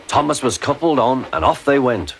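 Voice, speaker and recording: A man's voice: mid-range voice, normal speed, vocal fry, slight background noise. A man reading a scripted children's story as narrator, expressively acting out the characters. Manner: expressive, acts out character voices.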